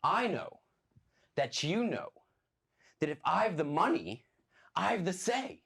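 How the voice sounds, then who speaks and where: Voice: mid-range voice, big pitch swings, takes it slowly, creaky, a bit bright, slightly rough, exaggerated voice. An actor playing a pompous, power-drunk stage player who lords it over a troupe in grandiose, declaiming style. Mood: gloating, commanding. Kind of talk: theatrical stage play acting.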